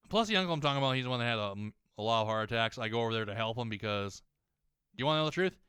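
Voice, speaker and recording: A man's voice: mid-range voice, fairly bright tone, clear, resonant tone, no room sound. Rambling, swearing young man gaming on a dry mic. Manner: rambling, swears a lot, many restarts. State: slightly hoarse voice that warms up. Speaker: gaming YouTuber. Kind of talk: rambling rant while playing a game.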